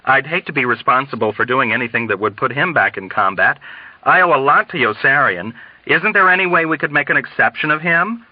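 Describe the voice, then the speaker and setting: A man's voice: medium pitch, expressive, a little fast, dry recording, muffled recording, voice pushed up, natural voice. A man voicing an earnest, self-serving mess officer in an audiobook, deferential and plaintive. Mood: earnest, pleading. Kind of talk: dramatized audiobook reading.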